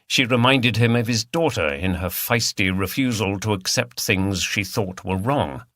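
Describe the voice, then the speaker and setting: A man's voice: on the low side, moderate pace, fairly bright tone, dry recording. Man narrating a mystery audiobook, dry sound. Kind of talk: scripted mystery novel narration.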